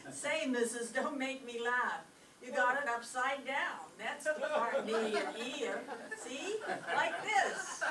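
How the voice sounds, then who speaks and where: A woman's voice: sits high, slow, pushed and bright, a faint crowd, full character voice. A woman playing a brusque, impatient city telephone installer in an old-time radio comedy. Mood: amused. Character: brisk, impatient telephone installer, working-class city worker. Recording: roomy stage, distant mic, faint noise.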